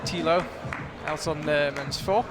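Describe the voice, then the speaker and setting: A man's voice: a little high, steady tone, a little slow, over music. A man doing live commentary on a climbing competition, chatty and analytical, thinking aloud as the action unfolds in a big hall. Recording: loud crowd in a big hall.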